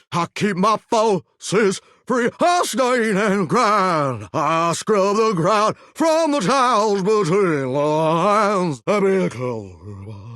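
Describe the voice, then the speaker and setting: An actor playing a vain, folksy singing shower in a video game, with a theatrical Southern drawl and country sayings. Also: male voice, high-pitched, a bit bright, no room sound, clean studio sound, heavily acted. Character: flamboyant, folksy singing shower, vain would-be showbiz star. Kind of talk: animated video game character acting with bursts of song.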